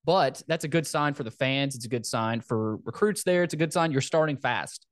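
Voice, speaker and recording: A man hosts a casual sports podcast, previewing football in a conversational style. His voice sits at a medium pitch, and the sound is dry with very little room in it. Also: moderate pace, no room sound.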